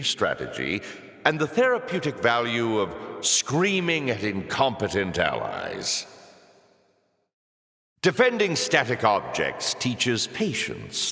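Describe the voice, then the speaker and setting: An actor playing a sardonic, theatrical old fallen angel in a video game, savouring each phrase with mock politeness and dark amusement. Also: male voice, fairly low pitch, full character voice. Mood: dry sardonic. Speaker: mission announcer in a video game. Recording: long echoing reverb.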